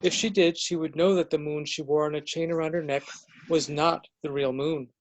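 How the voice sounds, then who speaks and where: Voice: medium pitch, fairly even intonation, normal speed, low-quality recording. A narrator reading a storybook tale in a scripted readers theater performance.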